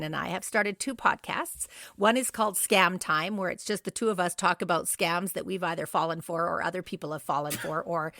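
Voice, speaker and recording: A woman's voice: medium pitch, bright, forceful tone, no echo. Playful woman in her fifties, dry sound. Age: 50s. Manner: playful, teasing. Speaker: podcast co-host. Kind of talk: casual chat.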